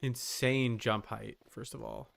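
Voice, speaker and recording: A man's voice: mid-range voice, fairly even intonation, takes it slowly, vocal fry, fairly bright tone. A man giving casual live commentary as a game streamer, muttering short, casually profane reactions.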